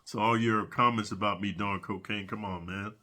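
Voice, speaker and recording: A man's voice: lowish voice, steady tone, normal speed, pushed and bright. A middle-aged man telling prison stories in a rambling, casual monologue, sniffling from allergies and dropping "man" and "dude" all the time. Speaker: prison storyteller.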